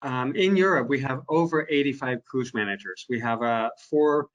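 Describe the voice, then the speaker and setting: A man's voice: medium pitch, normal speed, dull audio. Enthusiastic man at a virtual event. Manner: enthusiastic, chatty, some restarts. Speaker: co-founder and event host. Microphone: video call.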